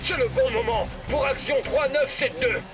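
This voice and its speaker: A man's voice: extremely high pitch, moderate pace. A man playing an earnest, spirited young football player in a cartoon, with music behind him. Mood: urgent. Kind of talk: cartoon voice acting.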